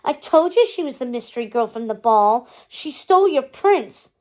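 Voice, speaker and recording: A woman's voice: high-pitched, animated, normal speed. A woman voicing a smug, tattling young stepsister in a storybook, with a gloating manner.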